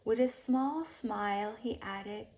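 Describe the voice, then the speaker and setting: A woman narrating a children's storybook aloud in an expressive bedtime-story style, with measured phrasing and softly hushed sentence endings. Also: on the high side.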